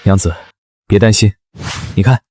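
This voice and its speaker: Man acting a smooth-talking young husband hero. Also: on the low side, normal speed, darkish tone, faint music behind, close to an everyday voice. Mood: gentle, soothing. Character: young husband, secretly powerful swordsman, posing as harmless. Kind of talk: dramatized fiction voice acting.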